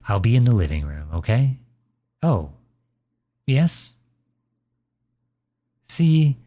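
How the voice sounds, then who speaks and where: A man playing a gentle, reassuring father comforting his child in a soft, soothing ASMR roleplay. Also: deep voice, heavily acted. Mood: playful, fond. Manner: soft, soothing, unhurried encouragement.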